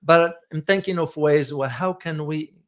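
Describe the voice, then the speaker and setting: A middle-aged man co-hosting a casual chat show, with a loud voice and a halting way of talking full of restarts. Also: fairly high pitch. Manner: loud voice, hesitant with many restarts. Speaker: co-host. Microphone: close mic.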